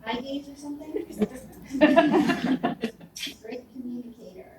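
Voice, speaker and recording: A woman with a slightly high voice who speaks slowly and offhandedly. She laughs between her short lines of praise. Her voice sounds very echoey and distant, with clear background noise. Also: crowd noise. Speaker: colleague giving a tribute. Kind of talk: short recorded tribute.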